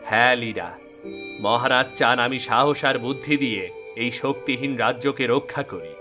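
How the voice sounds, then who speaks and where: A loyal, burdened guard of a fairy kingdom played by a man speaks with solemn earnestness. His pitch is middling and his phrasing is formal, in cartoon fairy-tale style. Music plays clearly behind him. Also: normal speed, natural voice. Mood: earnest, resolute.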